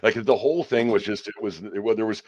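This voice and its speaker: A man's voice: fairly low pitch, fast talker, a little mellow, dull audio, slight background noise. A man hosting a casual sports interview, asking rambling questions that circle around the point. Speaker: sports podcast host.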